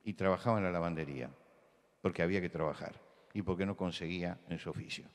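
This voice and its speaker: Emphatic Buenos Aires preacher, low voice. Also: male voice, fast, big-room sound. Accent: Rioplatense Spanish. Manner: emphatic, repeats key words, rises to loud insistence. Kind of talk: sermon talk with anecdotes. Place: church hall.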